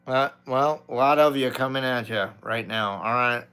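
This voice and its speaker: A man's voice: medium pitch, normal speed, slight background noise. A middle-aged American man chatting casually as a live-stream host, blunt and informal in the way he talks, with guitar behind him. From United States. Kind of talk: casual live-stream chat reading viewer comments. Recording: loud guitar music behind the voice. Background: guitar playing.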